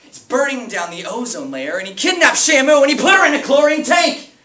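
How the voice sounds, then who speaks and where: Echoey, distant stage monologue: man plays loud, sarcastic slacker substitute teacher, bitter, wildly swinging high pitch. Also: sing-song, a little slow, heavily acted. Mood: cynical ranting. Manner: sarcastic, bombastic, theatrical. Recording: echoey, noisy room from far off.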